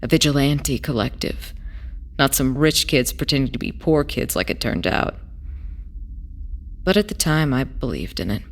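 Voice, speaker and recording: A woman playing a weary, idealistic former smuggler in an audio drama, telling their past in a hesitant, wistful way with pauses. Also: extremely low pitch, lively intonation. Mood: awkward, rueful. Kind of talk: audio drama acting, halting first-person testimony.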